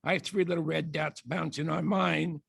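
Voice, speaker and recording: An older man speaks with a deeply gravelly, raspy voice. He tells rambling anecdotes, stopping and restarting often. The sound is dry and close, with a slightly high pitch for a man. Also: steady tone, a bit bright, no room sound. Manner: halting, many restarts and fillers. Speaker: guest speaker.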